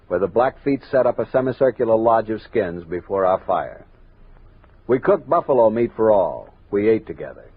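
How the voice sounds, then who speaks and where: An actor playing a resolute, watchful army captain who narrates a western radio drama in measured, earnest tones. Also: male voice, on the low side, slightly rough, narrow, tinny audio. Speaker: narrator and lead character. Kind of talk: 1960s western radio drama acting, first-person narration. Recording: noisy, band-limited 1960s radio recording.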